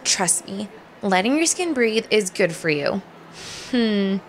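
A woman's voice: medium pitch, big pitch swings, slight background noise. A woman playing a bossy, bubbly young woman. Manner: bossy, quick, upbeat.

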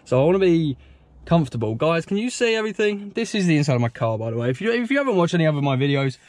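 A man's voice: fairly high pitch, very animated, racing, a little mellow, dry recording. A young man with a British accent, chatting casually and ramblingly to viewers as a car vlogger, recorded inside a car on a head-mounted camera. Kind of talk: casual chat to viewers while driving. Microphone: head-mounted action camera.

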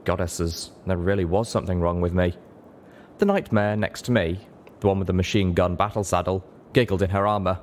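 A man narrates a written story in a fairly low voice. He reads as an audiobook narrator would. Some noise sits behind the voice. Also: fairly even intonation, a little fast. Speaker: audiobook-style reader, show host.

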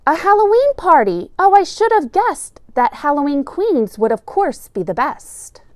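A middle-aged woman teacher reading a children's picture book aloud in a deliberate, expressive storytelling manner. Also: sits high, no echo, studio quality.

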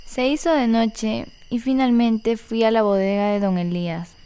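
Clear, smooth woman narrator. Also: fairly high pitch, steady tone, normal speed, warm tone. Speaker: narrator of a radio story. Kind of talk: scripted first-person radio narration.

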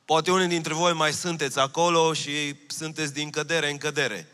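A man in his thirties preaches with a bright, forceful voice. He speaks into a handheld mic, earnest and repeating his key phrases. A long reverb trails after him in a large hall. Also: fairly high pitch, fairly even intonation, clear, resonant tone, faint music behind. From Romania. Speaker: pastor. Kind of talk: sermon. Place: church stage, large hall.

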